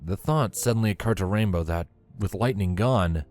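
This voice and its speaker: A man's voice: fairly low pitch, moderate pace, darkish tone. A man reading a scripted story as its narrator. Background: music.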